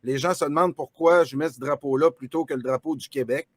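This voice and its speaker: A Quebec French man hosts a live online interview, his voice sitting somewhat high for a man. He asks questions conversationally, stumbling over repeated words. The sound is dry, from a video call. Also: quick pace, dry recording. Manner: conversational, stumbling repetitions while asking questions. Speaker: host.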